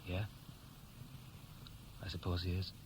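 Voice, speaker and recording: A man's voice: deep voice, monotone, takes it slowly. An actor playing a restless, evasive young Welshman in a 1960s radio play, speaking in a hesitant, colloquial way. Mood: uneasy, evasive. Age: young adult. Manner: hesitant, colloquial, self-correcting. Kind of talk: 1960s radio play acting, naturalistic dialogue. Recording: noisy vintage 1960s radio recording.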